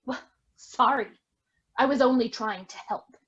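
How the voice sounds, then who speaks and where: A woman's voice: on the high side, unhurried, a bit bright, slightly rough, muffled recording. A woman playing a guileless, meddling twin sister in an amateur online stage farce. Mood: sulky. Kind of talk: amateur stage farce acting over video.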